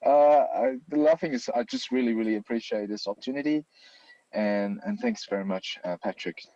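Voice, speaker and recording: A man in his thirties with a Chinese accent, a guest talking with lively emphasis and frequent restarts. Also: lowish voice, brisk, highs cut off. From China. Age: 30s. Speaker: guest, software library author. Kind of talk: casual interview chat.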